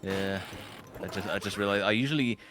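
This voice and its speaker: A man's voice: fairly low pitch, a little fast. A British man streaming a video game, chatting loosely, rambling and swearing freely. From Britain. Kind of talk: casual gaming chat. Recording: game music playing behind.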